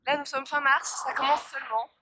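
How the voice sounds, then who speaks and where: A woman's voice: sits high, steady tone, brisk, sharp tone. A young woman vlogging casually to her phone on a windy outdoor walk, tired and stuffy from pollen allergies, rambling with many fillers and restarts. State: congested from pollen allergy, coughing. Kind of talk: casual vlog chat to camera. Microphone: phone held at arm's length.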